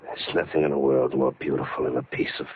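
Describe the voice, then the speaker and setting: An actor playing a hot-tempered man obsessed with blades, a killer, in an intense, halting 1940s radio thriller style. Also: rumbling low, little pitch movement. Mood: brooding. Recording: tinny, noisy old radio recording.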